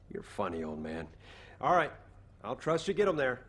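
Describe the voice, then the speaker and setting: A man's voice: medium pitch, very animated, a little slow, fairly bright tone, husky, heavily acted. A man playing a cheeky, easygoing young soldier who loves cooking in a video game, with a casual, teasing delivery. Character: easygoing cheeky young soldier-cook, passionate about food.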